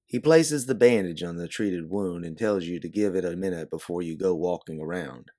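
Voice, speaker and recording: A man's voice: deep voice, moderate pace, warm tone. A man narrating a scripted story in the second person, as its author and storyteller.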